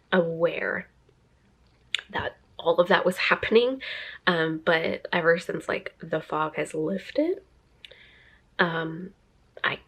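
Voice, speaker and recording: A woman's voice: on the low side, gravelly, fairly bright tone. A young woman vlogging to camera in a chatty, rambling way, a little stuffy from a cold and laughing often. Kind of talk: casual talking-to-camera vlog.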